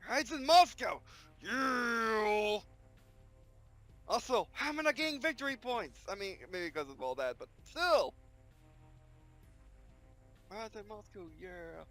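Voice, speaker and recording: High-voiced excitable gamer man. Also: slow pace, long pauses, faint music behind. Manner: excitable, jokey, talks to the game. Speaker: gamer playing a strategy game. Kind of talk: let's play commentary.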